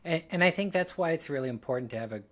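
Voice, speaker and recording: A man co-hosting a podcast, discussing writing in a casual, reflective way with earnest, measured phrasing. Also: fairly high pitch, lively intonation, fairly bright tone. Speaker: podcast co-host and writer.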